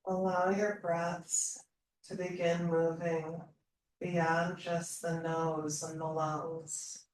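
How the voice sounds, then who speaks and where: A woman leading a slow guided meditation in a calm, soothing manner, leaving long pauses between phrases. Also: fairly low pitch. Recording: roomy echo, distant mic, faint noise.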